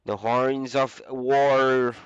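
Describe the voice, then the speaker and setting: A young man with the flu, casually commentating a video game on his own, with a lot of filler pauses. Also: medium pitch, fairly even intonation, smooth, dry recording, muffled recording. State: sick with flu. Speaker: esports caster.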